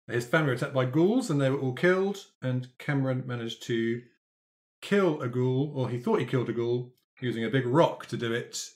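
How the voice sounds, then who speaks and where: A man talking casually as a player on a role-playing podcast panel. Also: medium pitch. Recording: roomy, echoing sound.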